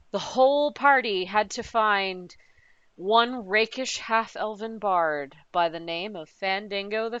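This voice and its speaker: A woman's voice: a little high, fairly bright tone, dry recording, dull audio. A woman running a tabletop game, recapping the adventure with playful, dramatic storytelling and teasing asides. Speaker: game master. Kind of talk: recapping a tabletop campaign with players.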